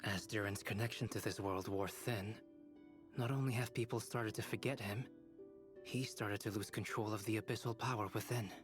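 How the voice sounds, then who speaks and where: An actor playing a calm, scholarly young man in a video game, explaining his reasoning in a measured way. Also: on the low side, music in the background, lightly acted. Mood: thoughtful. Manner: measured, analytical.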